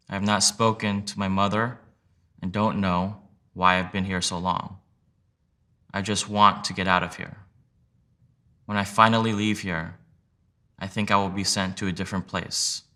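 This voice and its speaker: A man reading a sworn legal declaration aloud in a measured, plain, steady way. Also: on the low side. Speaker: reader of sworn testimony.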